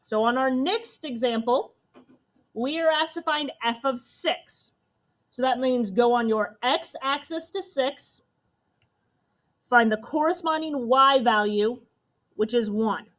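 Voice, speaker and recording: A young woman with a loud voice, teaching a math class step by step. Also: sits high, slow pace. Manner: step-by-step explaining to students.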